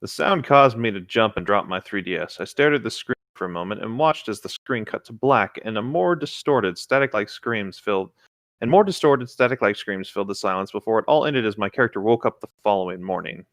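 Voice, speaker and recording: A man's voice: on the low side, expressive, fairly quick, fairly bright tone, no echo, clean studio sound. A man co-hosting a casual read-along of internet horror stories, with light joking comments between lines. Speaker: co-host reading internet horror stories aloud.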